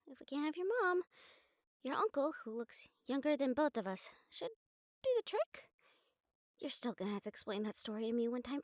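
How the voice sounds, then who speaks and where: A woman's voice: sits high, no room sound, studio quality. A woman playing a wry, determined young bride-to-be in a vampire clan, speaking in a teasing, conversational way to the listener in an audio roleplay. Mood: wistful, teasing. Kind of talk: audio roleplay drama acting, addressing the listener.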